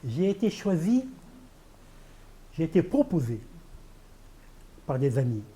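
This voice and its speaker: Older Senegalese poet, Pulaar first language, slightly high yet deeply dark tone, rhetorical and emphatic. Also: male voice, big pitch swings, takes it slowly. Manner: emphatic, repeats key phrases, rhetorical. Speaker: poet and candidate guest. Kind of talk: televised interview.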